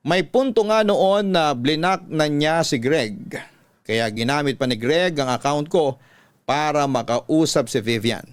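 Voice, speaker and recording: A man with a Filipino accent reading a listener's letter as scripted radio-style story narration, in a measured storytelling delivery. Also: a little high, no echo.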